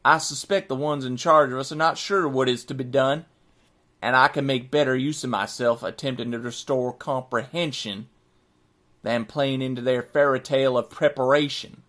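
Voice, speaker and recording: A man's voice: mid-range voice, steady tone, moderate pace, fairly bright tone, natural voice. A man playing an exasperated nineteenth-century army sergeant in an audio drama, reading out his written account in formal, precise, scornful language. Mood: scornful, determined. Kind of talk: dramatic first-person journal narration, audio drama.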